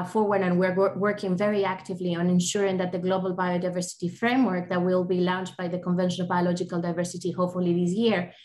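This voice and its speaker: A woman in her forties from Ecuador, speaking on an online panel over a video call, earnest and full of fillers and restarts. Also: lowish voice. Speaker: panelist from a bird conservation group. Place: home or office on a video call, indoors. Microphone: laptop webcam mic on a video call.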